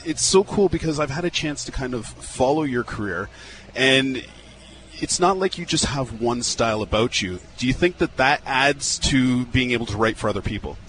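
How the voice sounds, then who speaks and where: A man's voice: medium pitch, expressive, normal speed, a bit bright, slight background noise, rough recording. A male radio host chatting upbeat and casual in a live interview at an outdoor fairground. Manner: upbeat, chatty, repeats words.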